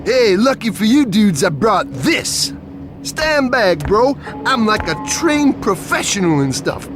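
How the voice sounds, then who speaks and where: A man's voice: sits high, very animated, takes it slowly, music in the background, full character voice. A man voicing a goofy, laid-back young surfer dude with a slangy slacker drawl in a video game. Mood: cocky. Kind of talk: video game cutscene voice acting.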